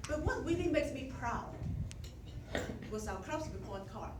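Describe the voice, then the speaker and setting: A middle-aged woman with a Chinese accent delivers a prepared speech to her club, measured and gently self-deprecating. Her voice sits a little high. She is picked up from a distance in an echoey meeting room with clear room noise around her. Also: animated. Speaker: outgoing club president.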